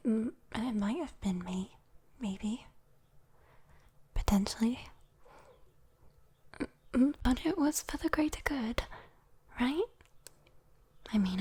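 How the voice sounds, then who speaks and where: A woman with a British accent voicing a sweet, teasing, possessive demon girl in a soft, intimate whispered ASMR roleplay. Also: fairly high pitch, husky, full character voice. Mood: playfully sheepish. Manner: slow, coaxing, intimate, playful. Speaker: ASMR voice performer.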